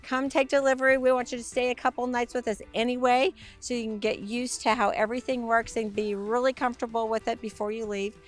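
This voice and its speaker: Hoarse, raspy-voiced woman giving an upbeat tour. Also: steady tone, fast talker, few pauses, faint music behind. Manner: bubbly, upbeat sales pitch. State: hoarse from a week of talking. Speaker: RV sales presenter. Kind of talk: enthusiastic walkaround tour.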